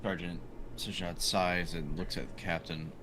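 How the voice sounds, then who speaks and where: A man's voice: low voice, steady tone, measured pace, noisy background. A man playing a tabletop roleplaying game, chatting casually and saying what his character does.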